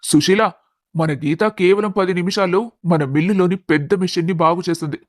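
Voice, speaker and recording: A man's voice: high-pitched, moderate pace, dry recording, clean studio sound. A man voicing a mild, earnest middle-aged family man in a narrated story. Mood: proud.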